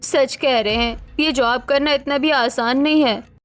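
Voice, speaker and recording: A woman's voice: high voice, steady tone, measured pace. A woman voicing a proud but easily hurt young housewife in a cartoon story, earnest and plaintive. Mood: subdued, sincere.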